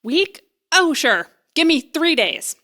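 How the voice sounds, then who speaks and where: A woman playing an awkward, bluffing young repair worker in a comedy audio drama, halting and wryly correcting herself. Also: high voice, sing-song, pushed and bright, exaggerated voice. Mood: nervous, eager. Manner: halting, self-correcting, wry.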